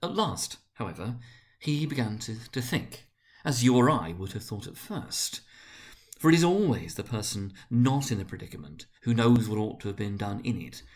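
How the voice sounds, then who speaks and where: A man reading a story aloud as narrator, in a dramatic literary style with theatrical, deliberate phrasing. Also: fairly low pitch, animated. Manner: theatrical, deliberate phrasing with emphatic swells and hushed drops.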